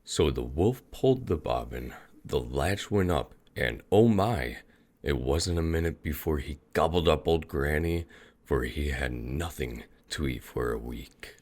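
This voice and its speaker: A man narrating a fairy tale as a storyteller, in a measured, atmospheric manner. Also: a bass voice, animated, a little mellow.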